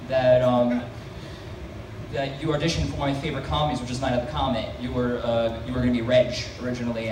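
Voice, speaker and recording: A man from the audience asking the panel a question, hesitant and full of ums. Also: mid-range voice. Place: convention panel hall. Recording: echoey hall, distant mic, noisy ambience.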